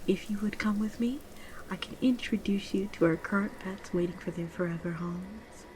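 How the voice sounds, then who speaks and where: A woman playing a polite, genteel pet shop saleswoman in a soft-spoken ASMR roleplay, measured and often near a whisper. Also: mid-range voice, natural voice. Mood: informative, reassuring. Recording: clearly audible ambient background noise.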